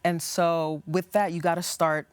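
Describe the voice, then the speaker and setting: Earnest low-voiced woman guest. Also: little pitch movement, measured pace, clear, resonant tone, no echo. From United States. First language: English. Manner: earnest, passionate, stops and restarts phrases. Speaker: community organization founder, guest. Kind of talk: studio interview.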